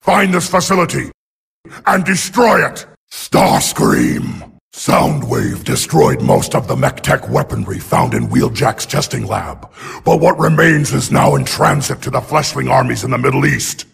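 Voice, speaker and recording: An actor playing a ruthless, imperious giant robot warlord in a video game, with a gravelly snarl and a menacing, grandiose manner. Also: male voice, medium pitch, huge pitch swings, unhurried, a bit bright, full character voice. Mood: commanding. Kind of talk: video game villain acting.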